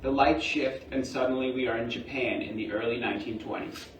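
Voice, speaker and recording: A man narrates stage directions from a script in a mid-pitched voice. He sounds a little far from the mic in a very roomy space, with clear noise behind him. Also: steady tone, a little fast.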